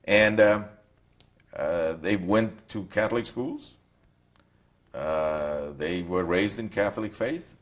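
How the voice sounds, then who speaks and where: An older man recounts his memories in a slow, reflective way, often pausing to find his words. His voice sits very low. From Poland. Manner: reflective, halting storytelling. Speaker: interviewee. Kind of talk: recorded testimony.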